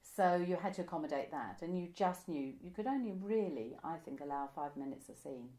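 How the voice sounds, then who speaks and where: A woman's voice: low voice, expressive, brisk, dark tone. A woman. She talks informally as an interviewee, looking back chattily and often correcting herself as she goes. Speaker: interviewee, amateur pantomime writer. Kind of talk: casual reminiscing interview. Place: indoor room by a window, seated.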